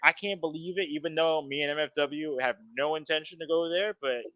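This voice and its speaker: A man's voice: fairly high pitch, muffled recording, crowd noise. A man hosting an online panel, venting bluntly with plenty of swearing. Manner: blunt, profane, quick to vent. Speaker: panel host. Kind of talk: livestream panel chat.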